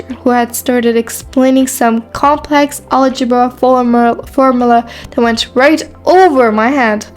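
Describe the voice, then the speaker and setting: Woman playing a high-pitched, wry teenage girl. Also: a little mellow. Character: shy but sarcastic teenage girl, new at school. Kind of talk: scripted first-person story narration with acted dialogue.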